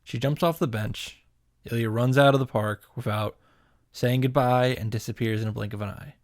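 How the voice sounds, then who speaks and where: A man's voice: mid-range voice, steady tone, normal speed. A man reading visual novel narration aloud and adding casual commentary, as host of a game reaction series. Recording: music behind the voice. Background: game music.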